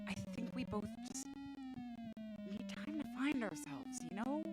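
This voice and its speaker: An actress playing an anxious, guilt-ridden young woman in a science fiction radio drama, halting and emotional. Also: high-pitched, loud music behind the voice. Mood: guilty, hesitant. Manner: halting, emotional, stammering delivery.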